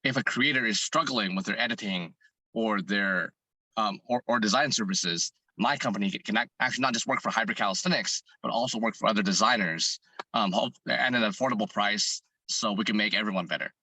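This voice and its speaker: A man with a hoarse voice, a fitness content creator answering interview questions earnestly, thinking aloud and restarting his sentences. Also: on the low side, brisk, sharp tone, dry recording, low-quality recording.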